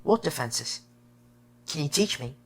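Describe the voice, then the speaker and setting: A man's voice: on the high side, lively intonation, measured pace, creaky, full character voice. A man playing an anxious, insistent teenage schoolboy wizard in an audio drama, earnest and questioning.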